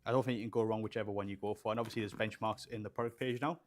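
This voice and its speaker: Young male streamer, lower voice, casual and loose, lots of fillers, small studio. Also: steady tone, racing. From Poland, raised in England. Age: young adult. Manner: chatty, rambling with fillers, reads chat aloud. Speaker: livestream host building gaming PCs. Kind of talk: casual livestream chat. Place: small studio room with shelves.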